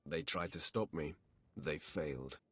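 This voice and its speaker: Very low, husky, menacing Sith warrior. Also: male voice, measured pace, a bit bright, no room sound, heavily acted. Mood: haughty. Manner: curt, haughty, menacing. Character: proud, ruthless young Sith warrior apprentice. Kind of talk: scripted video game acting.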